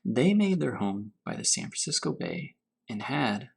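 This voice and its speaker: Male read-aloud teacher for children's storybook, lively intonation with a gravelly, creaky edge, faint noise behind. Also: mid-range voice. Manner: pauses to pose questions to young listeners. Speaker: teacher reading to fourth graders.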